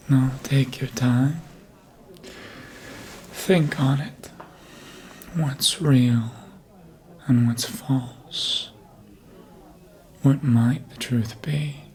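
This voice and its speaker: An actor playing a seductive, manipulative vampire in an ASMR roleplay, speaking in a breathy, often whispered voice with a deliberate, hypnotic manner, close to the mic. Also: medium pitch, fairly even intonation, a little mellow. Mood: teasing, seductive. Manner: slow, deliberate, hypnotic, with long pauses. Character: seductive vampire, manipulative mind-controller, calm and menacing. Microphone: close ASMR microphone.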